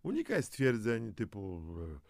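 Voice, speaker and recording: A man's voice: mid-range voice, huge pitch swings, unhurried, gravelly, darkish tone, no room sound, clean studio sound. A man presenting a self-help audio guide, speaking straight to the listener in an encouraging, motivational style. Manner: encouraging, addresses the listener directly. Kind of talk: motivational scripted narration.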